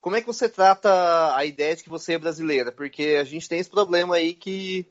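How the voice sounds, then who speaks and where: A man's voice: a little high, a little fast. A man hosting a casual conversation, talking animatedly and calling the listener "cara". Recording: dull lo-fi sound.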